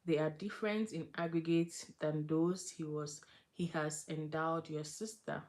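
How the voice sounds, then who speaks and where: A woman hosts a casual devotional livestream in a voice a little lower than most women's. She speaks in an earnest, conversational way, moving between personal testimony and reading aloud. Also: steady tone, measured pace, a little mellow. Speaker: livestream host.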